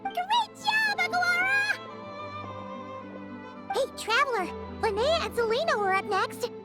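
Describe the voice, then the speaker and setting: Super squeaky little kid-like mascot from a video game, bouncy, eager, loud music under it. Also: female voice, lively intonation, slow pace, exaggerated voice. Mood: excited, cheering.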